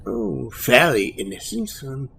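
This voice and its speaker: A man playing a calm, matter-of-fact otherworldly guide to the newly dead in an audio drama, deliberate and detached. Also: mid-range voice, creaky, full character voice. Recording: faint background noise.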